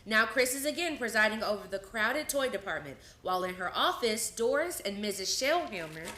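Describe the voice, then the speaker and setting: Bright-voiced woman narrator in a roomy space. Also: mid-range voice, lively intonation, fairly quick. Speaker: announcer and narrator. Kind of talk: scripted radio play narration.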